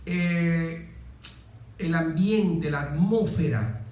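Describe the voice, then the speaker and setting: A man's voice: fairly high pitch, extremely slow, a little mellow. Venezuelan guy telling old stories, repeats himself for emphasis, super roomy sound, bit far from mic. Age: middle-aged. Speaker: interview guest, former military aide. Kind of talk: conversational anecdote-telling. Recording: echoey room, distant from the mic.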